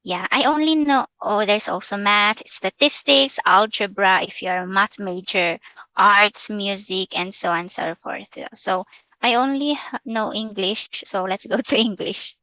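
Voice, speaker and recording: A Filipina woman with a Filipino accent talks slowly and hesitantly through an online tutorial, mixing English with Tagalog. Her voice is bright and forward, and it sounds close and dry, with no room around it. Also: medium pitch, no room sound, low-quality recording. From Philippines. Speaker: vlogger. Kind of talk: casual screen-share tutorial.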